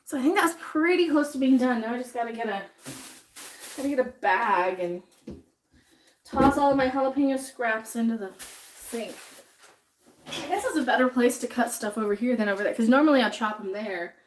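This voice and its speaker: A woman's voice: fairly high pitch, animated, normal speed, long pauses, slight background noise. A woman chatting casually on a livestream while she cooks in her home kitchen, sniffly from chopping jalapenos and reading viewer comments aloud. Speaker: livestream host cooking.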